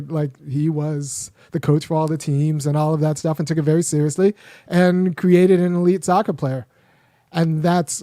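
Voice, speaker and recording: Male host, casual rambling talker, slightly high voice, dry close recording. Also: warm tone, no room sound. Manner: rambling, frequent restarts and fillers. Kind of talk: casual commentary monologue.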